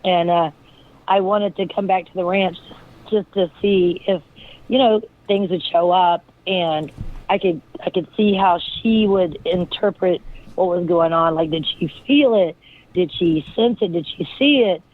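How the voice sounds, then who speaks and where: A hoarse woman with a raspy voice, a guest talking in a rambling, searching way with many fillers. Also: on the low side, a little slow. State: hoarse from illness. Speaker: guest, friend of the deceased. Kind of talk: casual interview talk. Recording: tinny phone line.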